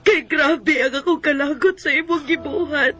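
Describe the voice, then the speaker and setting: A woman's voice: sits high, moderate pace, pushed and bright, soft background music. An actress playing a long-suffering, forgiving wife and mother in a Cebuano radio drama, emotional and pleading. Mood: tearful, forgiving. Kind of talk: Bisaya radio drama acting.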